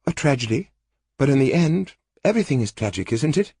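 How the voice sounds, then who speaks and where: Brief: a male reader playing a soft wistful woman, raised gentle voice, husky, dry close sound. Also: mid-range voice, big pitch swings, brisk, no echo, pitched above the performer's own voice. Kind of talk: audiobook character voice.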